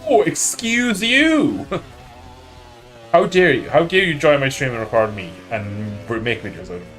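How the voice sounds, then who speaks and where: A man's voice: medium pitch, sing-song, normal speed, fairly bright tone, soft background music. A man with an Irish accent chatting casually and joking while streaming a motorbike racing game. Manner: joking, rambling, self-mocking. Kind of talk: casual chat while gaming.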